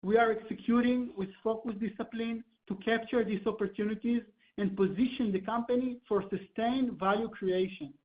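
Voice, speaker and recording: A company finance executive delivers scripted quarterly results at a medium pitch. The sound is a dull, lo-fi conference call connection. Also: fairly even intonation, moderate pace, hardly any pauses.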